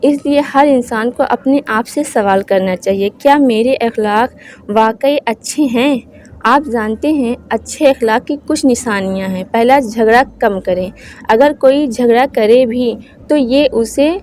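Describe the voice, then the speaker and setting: High-voiced woman giving soft-toned religious advice. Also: slight background noise. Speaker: host of Islamic advice channel. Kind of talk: informal religious talk to viewers.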